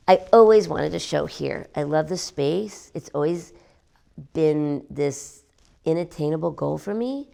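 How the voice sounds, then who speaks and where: Woman, artist interview, deep voice, emphatic and animated. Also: gravelly. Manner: animated, emphatic, repeats words for effect. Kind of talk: gallery interview.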